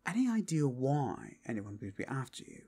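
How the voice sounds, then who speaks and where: A man's voice: medium pitch, huge pitch swings, normal speed, gravelly, dark tone, slightly rough, heavily acted. A man voicing a cool, dangerous, inquisitive male vampire with a suave, teasing manner in a fantasy ASMR roleplay. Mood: suave, curious.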